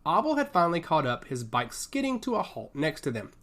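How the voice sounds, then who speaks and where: A man's voice: a little high, huge pitch swings, a little fast, fairly bright tone. A man narrating an action story as a scripted, dramatic audiobook.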